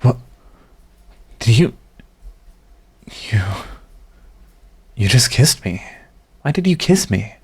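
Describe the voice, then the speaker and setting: A man playing a proud yet tender, lovestruck villain in a romantic ASMR audio roleplay, speaking in a halting, intimate, earnest way. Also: mid-range voice, sing-song, breathy edge, exaggerated voice. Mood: startled, indignant.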